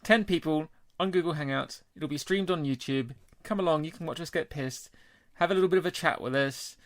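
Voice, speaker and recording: A man's voice: on the high side, fairly quick, dry recording, clean studio sound. A British man co-hosting a podcast in a casual, jokey and matey way. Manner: jovial, matey. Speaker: podcast co-host.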